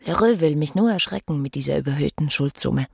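A woman's voice: low voice, lively intonation, brisk, gravelly. An actress playing a weary, despairing woman's inner thoughts in a radio drama, brooding and dramatic. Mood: bitter. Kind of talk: radio drama interior monologue.

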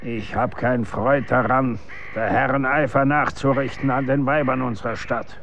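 Male actor as brusque executioner father, radio drama, middle-aged, medium-pitched, archaic speech, noisy ambience. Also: steady tone, moderate pace, a bit bright, natural voice. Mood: grim, wary. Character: gruff old-time executioner and stern father.

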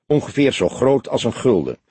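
Audiobook role: young junior detective, precise, self-assured, animated intonation, played by a male narrator. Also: mid-range voice, normal speed, slightly rough, dry recording. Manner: factual, emphatic reporting.

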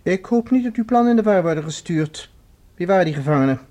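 A man playing an uneasy, indignant professor who collaborates with Martians in a Dutch science fiction radio drama, questioning and sharp-tongued. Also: high voice, animated, quick pace. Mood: alarmed, indignant.

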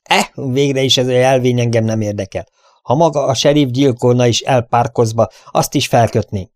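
A man voicing a domineering, angry western bandit boss with a gruff, bullying, deceitful manner. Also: mid-range voice, no echo, clean studio sound, pitched above the performer's own voice, lightly acted. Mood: blustering.

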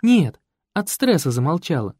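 A man's voice: a little high, very animated, normal speed, a little mellow, husky, no echo, studio quality. A man reading a composed, firm young woman, a priest's daughter, telling her story. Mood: reflective. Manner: composed, commanding.

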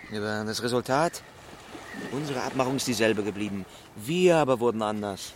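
A man playing a cool, calculating swindler in a literary radio drama, speaking in a deliberate, dryly declarative way. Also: on the low side, a little hiss or hum, natural voice. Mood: cool, detached. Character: cool, calculating male swindler, philosophical and detached.

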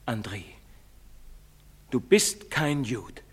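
An actor playing a grave, earnest priest in a stage drama, solemn and pleading, with measured emphasis. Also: male voice, mid-range voice, big pitch swings, husky, full character voice. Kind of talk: German stage drama acting. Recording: reverberant theatre stage recording.

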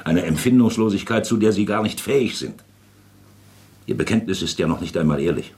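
An actor playing an older gentleman investigator in a radio drama, grave, patient and probing, with measured, insistent speech and a gravelly voice. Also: low voice, animated. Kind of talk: German radio drama acting.

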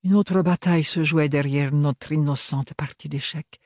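A man's voice: a little high, steady tone, moderate pace, darkish tone, no room sound, clean studio sound, natural voice. A man voicing a tired, elegant noblewoman who speaks in a honeyed, mocking way. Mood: languid. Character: weary elegant noblewoman, sly and languid.

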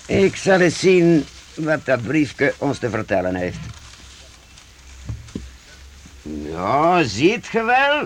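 A man playing a calm, wise master who guides his young companions in a radio play, explaining things in a measured, reassuring way. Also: on the high side, slight background noise, full character voice. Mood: alert, puzzled.

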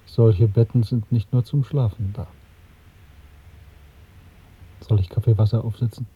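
A pensive middle-aged man in a radio play, earnest and brooding. His voice is husky, on a thin, phone-like recording. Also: lowish voice, steady tone, fairly quick. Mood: guarded, intimate. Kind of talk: German radio play acting. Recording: muffled, narrow-band old radio recording.

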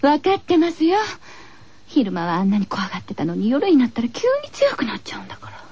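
Woman acting high-voiced schoolteacher in radio horror. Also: very animated, quick pace, dark tone, husky, no echo, dull audio. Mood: uneasy. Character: female schoolteacher, firm but uneasy, narrating a horror tale.

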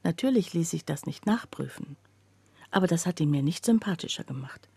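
Troubled office worker, confessional radio play narration, breathy husky tone, mellow timbre. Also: female voice, on the low side, expressive, brisk, no room sound, full character voice. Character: troubled woman office worker recounting her own breakdown. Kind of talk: scripted first-person radio play narration.

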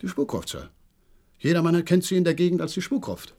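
A man's voice: fairly high pitch, big pitch swings, fast talker, mellow. A man acting in a German radio play as an agitated horse trainer, eager and deferential as he gives a dramatic account. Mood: secretive, earnest. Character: agitated horse trainer reporting a mystery, deferential male servant.

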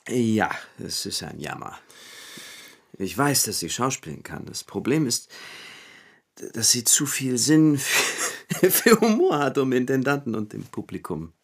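A man's voice: mid-range voice, sing-song, a little slow, creaky, slightly rough, heavily acted. An actor playing an earnest, frustrated but obliging bookish young man in a naturalistic radio drama, moving between muttering and emphatic speech. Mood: rueful.

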